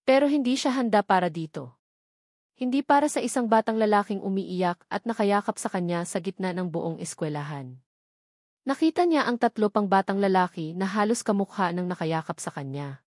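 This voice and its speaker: A woman's voice: medium pitch, expressive, normal speed, a little mellow, dry recording, studio quality. A woman reading a scripted story as a dramatic narrator.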